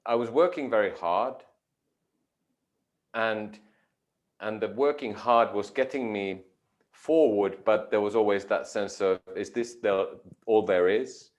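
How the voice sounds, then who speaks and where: A man from Finland, a yoga teacher giving measured, thoughtful interview answers with frequent pauses. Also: on the low side. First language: Finnish.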